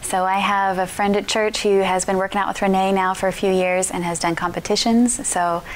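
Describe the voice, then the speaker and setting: A woman's voice: mid-range voice, steady tone, a little fast, a little hiss or hum, poor audio. Earnest woman participant in her thirties. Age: 30s. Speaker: challenge participant.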